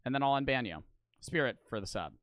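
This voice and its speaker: A young man streaming casually, talking fast and animated with lots of swearing, close to the mic. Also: mid-range voice, a little hiss or hum.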